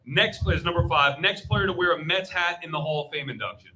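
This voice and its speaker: A man hosts a live sports panel with a high, cutting voice. He is brash and emphatic, throwing out questions and interjecting freely. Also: fairly quick, pushed and bright, low-quality recording. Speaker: panel show host. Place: live convention panel on stage.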